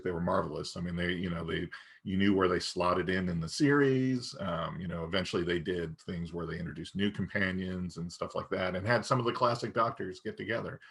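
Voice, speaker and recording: A man's voice: low voice, a bit bright, breathy edge. A man co-hosting a podcast in casual, fan-to-fan conversation. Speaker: podcast co-host.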